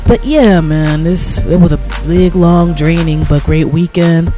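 Higher-voiced American man hosting over music. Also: measured pace. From United States. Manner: informal, slangy. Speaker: radio show host. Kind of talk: casual radio talk with shout-outs. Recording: loud music under the voice.

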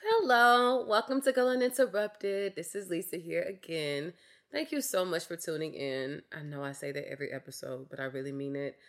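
A young woman hosting a casual solo podcast, candid and chatty, her voice slightly raspy from a cough. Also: on the low side, moderate pace, fairly bright tone. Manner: candid, chatty, frequent fillers like "like" and "um". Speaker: podcast host.

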